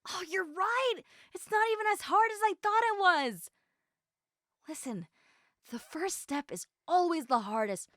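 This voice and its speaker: Woman doing an eager awkward young woman for roleplay audio, high voice, super dry close sound, halting n excitable. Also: no echo, exaggerated voice. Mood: excited. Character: eager, socially awkward, chatty young woman seeking friends. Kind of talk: roleplay audio acting, addressed to the listener.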